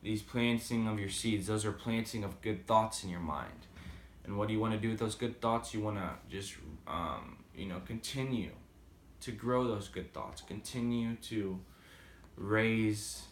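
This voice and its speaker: A man's voice: lowish voice, unhurried, roomy sound, a little hiss or hum. A man with a slight Boston accent talking casually and rambling with many fillers in a motivational vlog. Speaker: vlogger. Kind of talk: casual motivational talk to camera.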